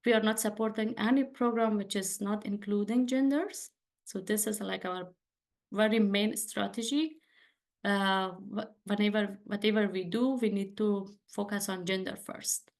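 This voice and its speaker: Afghan-accented woman, halting English. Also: medium pitch, fairly even intonation. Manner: halting non-native English with many fillers. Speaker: webinar speaker. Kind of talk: presentation in a webinar.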